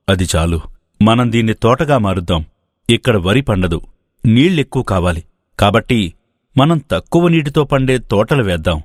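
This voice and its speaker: Man acting a low-voiced, earnest elder brother. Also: no echo, clean studio sound. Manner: measured, earnest. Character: hardworking, forgiving elder brother, a calm village farmer. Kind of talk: acted moral-story dialogue.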